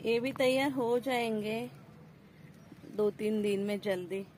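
Slow-talking woman touring her terrace garden. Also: medium pitch, darkish tone, a little hiss or hum. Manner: addresses viewers as friends, points things out. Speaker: gardening vlogger. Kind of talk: casual show-and-tell walkthrough. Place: rooftop terrace garden, outdoors. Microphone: phone camera held while filming.

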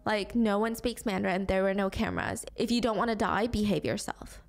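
A young woman narrating a true crime case into a studio mic at home, animated and acting out the quotes. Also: medium pitch, brisk, gravelly, soft background music. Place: small room at home, indoors. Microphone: studio mic on boom arm.

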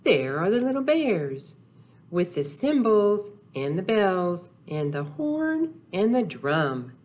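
A woman's voice: on the low side, sing-song, slow, a little mellow. An older woman presenting storytime for small children, talking and reading aloud in short, simple phrases with playful sound words. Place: small indoor room, seen seated before a wall.

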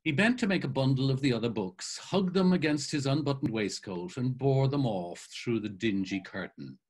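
A man in his sixties giving an expressive dramatic reading and commentary over a video call. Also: medium pitch, normal speed, husky. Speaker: actor and reader.

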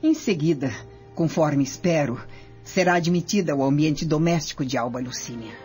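A woman's voice: low-pitched, darkish tone, husky, faint music behind. Vengeful, scheming Roman noblewoman, played by a woman. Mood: commanding, calculating. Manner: imperious, deliberate. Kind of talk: radio drama acting.

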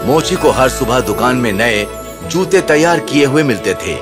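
A man's voice: medium pitch, lively intonation. A man narrating a scripted children's fairy tale as storyteller. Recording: music under the narration. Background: music.

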